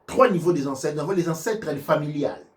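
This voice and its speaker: A man teaching about ancestors and offerings, answering questions in a halting, emphatic way with many repeated words. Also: a little high, recorded from a distance. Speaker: spiritual teacher. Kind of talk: religious teaching, live Q&A.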